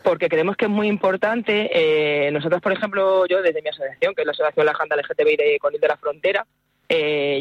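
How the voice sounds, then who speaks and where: A woman's voice: low-pitched, no room sound. A woman in her forties, born and raised in Madrid, speaks as a spokesperson in a radio interview. Her talk is earnest and full of fillers and restarts. The sound is dry and dull, like a lo-fi line. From Madrid, Spain. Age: 40s. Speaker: activist spokesperson.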